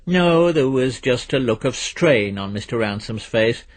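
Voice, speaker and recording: A man reads literary fiction aloud in a measured, dryly understated way, his voice a little husky. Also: mid-range voice, expressive. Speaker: narrator reading fiction aloud. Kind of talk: scripted literary narration.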